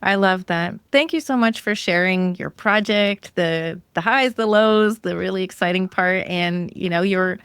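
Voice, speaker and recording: A woman's voice: medium pitch, measured pace, few pauses, fairly bright tone, clear, resonant tone, no echo. Woman podcast host, dry close sound. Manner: encouraging, affirming interjections. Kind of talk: casual interview chat.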